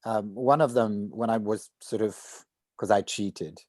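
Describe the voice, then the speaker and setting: A man's voice: lowish voice, unhurried, darkish tone, no room sound. A man in a casual planning discussion among actors, thinking aloud with repeated, hesitant phrases. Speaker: voice actor at a table read.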